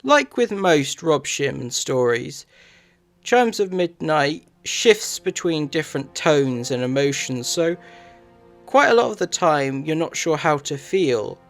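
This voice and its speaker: A man narrates a review at a slow, measured pace. His voice sits a little high, phrases come haltingly, and faint music plays underneath. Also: animated, gravelly.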